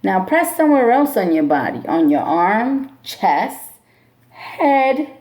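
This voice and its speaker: A woman teacher reading a picture book aloud to a class in a slow, expressive storybook manner, then giving classroom instructions. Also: medium pitch.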